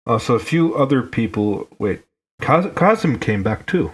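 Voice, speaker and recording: A man's voice: medium pitch, sing-song, normal speed, husky. A man with a Finnish accent who grew up in Hong Kong, a guest on a podcast chatting casually and joking, often restarting his words. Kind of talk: casual chat.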